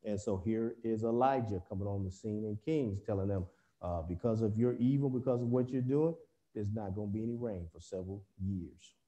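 A man preaching a Bible lesson over a video call, halting often with fillers and restarts. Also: fairly low pitch, mellow.

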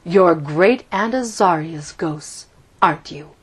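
A woman reading a storybook, voicing a calm, matter-of-fact father in a measured way. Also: lowish voice, husky, full character voice.

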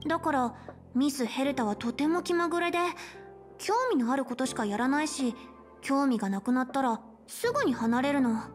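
A high-pitched young female space station chief, voiced by an actress for a video game. She is polite, self-assured and caring, explaining things in measured, articulate phrases. Faint music plays behind her. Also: lightly acted. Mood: calm, friendly. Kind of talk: Japanese game voice acting, explanatory dialogue. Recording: game dialogue over background music.

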